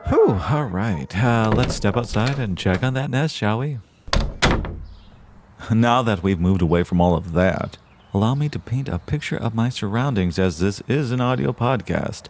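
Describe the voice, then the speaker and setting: A man's voice: on the low side, lively intonation, moderate pace, noisy background. A man playing a verbose, genteel small-town podcast host and dutiful son in an audio drama, speaking in folksy, flowery phrases. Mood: relieved, genial. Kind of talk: audio drama, mock radio-show narration.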